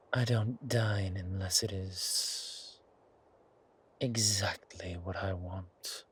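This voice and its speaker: Man as a slow, husky-voiced seductive vampire. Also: low-pitched, full character voice. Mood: indulgent, amused. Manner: slow, deliberate, hushed, soothing yet menacing. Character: seductive, predatory ageless male vampire, calm and menacing. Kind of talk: ASMR horror roleplay, intimate monologue to the listener.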